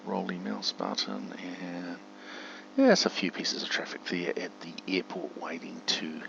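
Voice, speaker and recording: A man's voice: low-pitched, vocal fry. A man giving casual, chatty gameplay commentary on a simulator, thinking aloud, in a dull home recording. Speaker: gamer narrating a simulator playthrough. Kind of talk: casual gameplay commentary with spoken radio commands. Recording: dull, muffled home recording with faint hum.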